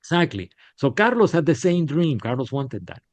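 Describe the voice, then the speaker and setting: A middle-aged man from Puerto Rico, a podcast guest telling stories in a candid, animated way with frequent restarts. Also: a little high, normal speed, dry recording. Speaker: podcast guest, business leader. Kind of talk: casual interview storytelling. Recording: dull, lo-fi sound.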